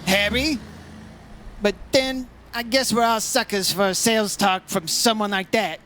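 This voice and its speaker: A man's voice: high voice, steady tone, unhurried, bright, forceful tone. A man playing a wry, teasing insurance investigator in an old-time radio detective play. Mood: needling, wry. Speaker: lead actor in a radio play.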